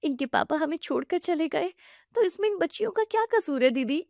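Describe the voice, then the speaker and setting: A woman voicing a gentle widowed young mother who pleads earnestly. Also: very high-pitched, expressive, brisk, vocal fry, no echo, studio quality, voice pushed up, full character voice. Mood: pleading.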